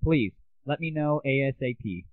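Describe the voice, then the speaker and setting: A man's voice: medium pitch, animated, moderate pace, no echo, phone-quality sound. A man hosting a podcast, giving a blunt, sarcastic review and swearing freely. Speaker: podcast host. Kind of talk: ranting gadget review.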